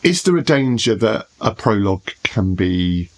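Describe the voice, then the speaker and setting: A man with a low, deep voice moderates a podcast conversation. He steers the talk along, posing questions and adding observations in a conversational style. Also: big pitch swings, measured pace. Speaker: podcast host steering the discussion.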